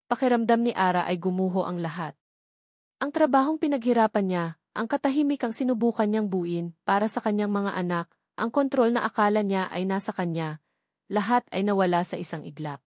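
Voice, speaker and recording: Female narrator for dramatic love stories. Solemn, suspenseful, measured delivery, mid-range voice, very dry recording. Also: medium pitch, lively intonation, darkish tone, dry recording. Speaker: narrator of a romance drama story. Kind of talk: scripted dramatic story narration.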